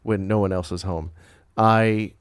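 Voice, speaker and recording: A man's voice: lowish voice, steady tone, measured pace, smooth, no room sound, clean studio sound. A man with a nasal voice co-hosting a casual podcast, joking, swearing freely and laughing often. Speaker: podcast co-host. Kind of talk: casual banter over drinks.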